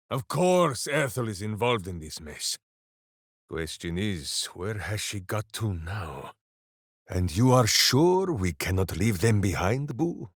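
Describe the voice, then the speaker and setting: A big, simple-hearted video game warrior with total heroic earnestness. His delivery is unhurried and theatrical, with very lively swings of pitch. The sound is dry and close, and every phrase is grandiose. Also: no room sound, full character voice. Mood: earnest, solemn. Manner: grandiose, earnest, speaks of himself in third person. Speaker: video game companion character.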